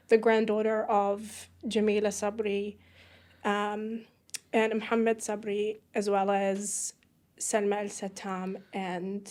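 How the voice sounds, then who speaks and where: A woman's voice: medium pitch, a little mellow. A woman from Iraq, a podcast guest, talking reflectively and searching for words in a casual interview.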